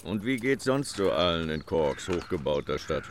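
An actor playing a dry older gentleman in radio drama dialogue, with measured, ornate phrasing. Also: sits low, big pitch swings, a little hiss or hum.

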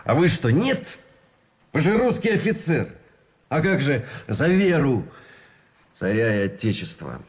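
An actor playing an earnest Russian army officer in a radio play, philosophizing and arguing through rhetorical questions. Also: male voice, medium pitch, big pitch swings, measured pace, pauses often. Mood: insistent.

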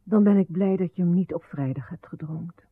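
A devoted wife and queen, gentle and soothing. Her breathy female voice pleads softly in radio-drama style. The sound is very dry and tinny, like an old narrowband recording. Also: lowish voice, normal speed, dry recording. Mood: tender concern.

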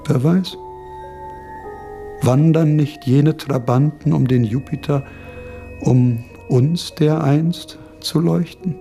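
An actor playing a pensive, scholarly eighteenth-century male philosopher in a radio drama, speaking in a deliberate, formal, learned manner. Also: fairly high pitch, gravelly, warm tone, faint music behind. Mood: moved, wondering. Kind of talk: radio drama monologue and lecturing.

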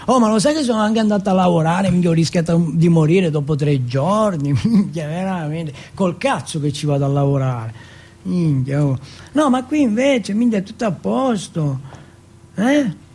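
A man voicing an excitable, streetwise teenage boy in a stage monologue, slangy, insistent and full of swearing. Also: high voice, moderate pace, breathy edge, full character voice. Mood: swaggering, animated. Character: excitable, foul-mouthed streetwise teenage boy from housing projects.

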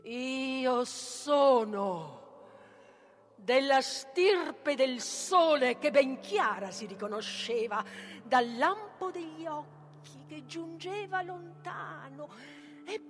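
A high-voiced, vengeful mother of Greek tragedy, proud and tormented. She declaims solemnly and deliberately, as in a theatrical radio reading. Music plays clearly behind her. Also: lively intonation, heavily acted. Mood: fierce, vengeful. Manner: declamatory, solemn, swinging from hushed whisper to fierce force. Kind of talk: theatrical tragic dramatic reading.

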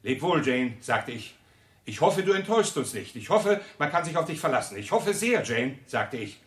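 A man's voice: medium pitch, sing-song, moderate pace, echoey room, natural voice. A man playing a stern, patronising master of a household in a radio play, giving clipped orders. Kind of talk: 1960s radio play acting.

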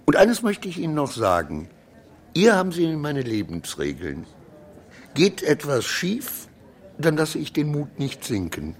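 An actor in a radio play playing a flustered, proud, insecure minor clerk who rambles between pompous declarations and muttering. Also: male voice, on the high side, huge pitch swings, a little slow, exaggerated voice. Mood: defiant, pompous.

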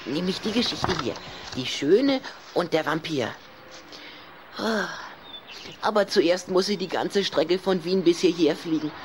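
A woman's voice: fairly low pitch, measured pace, noisy background, lightly acted. Woman playing a theatrical, lovesick little boy vampire. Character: proud, touchy, lovesick young boy vampire. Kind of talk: German children's radio play acting.

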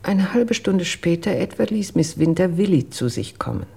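An actress playing a reserved, dutiful household employee who recounts events in a measured, careful way in a radio drama, with a husky voice. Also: female voice, lowish voice, animated, warm tone, full character voice. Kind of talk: German radio drama acting.

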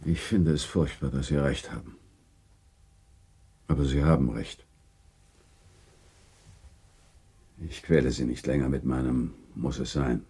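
A grave gentleman of a literary radio play, with an extremely deep voice. The tone is dark and somewhat husky. He speaks courteously and formally, measuring his words with quiet resignation. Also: steady tone, moderate pace, heavily acted. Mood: grave, resigned. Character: grave, courteous gentleman, confidant and second in a duel. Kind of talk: German radio drama acting.

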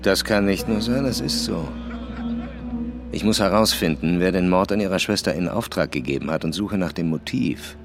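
Deep-voiced, brusque police commissioner. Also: male voice, normal speed, music in the background. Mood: blunt, insistent. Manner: terse, pointed questioning. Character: brusque, probing male police commissioner leading a murder investigation. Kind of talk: German radio drama acting.

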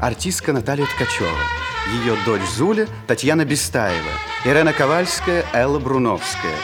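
A man giving a measured, theatrical, formal cast announcement on an old 1960s radio recording. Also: mid-range voice, big pitch swings. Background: music.

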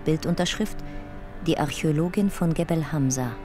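Actress as detached female caption reader, clipped scripted narration, loud plucked guitar behind. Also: low-pitched, normal speed, close to an everyday voice. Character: factual reader of photo captions. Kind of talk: scripted narration in radio drama.

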